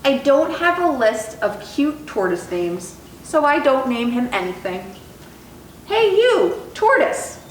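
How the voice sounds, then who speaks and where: Slow, very animated woman reading a storybook. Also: fairly high pitch. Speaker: storytime reader. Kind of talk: expressive children's picture book read-aloud. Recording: roomy echo, distant mic, faint noise.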